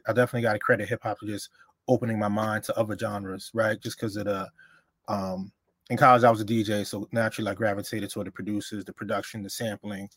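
Man, fairly low voice, dry close sound, relaxed reminiscing chat, from Honduras by way of the Bronx. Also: breathy edge, no echo. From born in Honduras, raised in the Bronx, New York. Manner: relaxed, reminiscing, with many fillers. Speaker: hip-hop journalist, co-host. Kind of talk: casual conversation.

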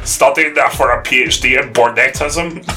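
A man's voice: mid-range voice, moderate pace, background noise. A man co-hosting a casual wrestling podcast, teasing his friends and ranting at length. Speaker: podcast co-host.

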